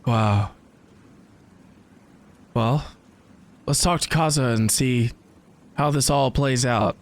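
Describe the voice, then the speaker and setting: A man's voice: mid-range voice, takes it slowly. A man giving casual reaction commentary as the host of a gaming video.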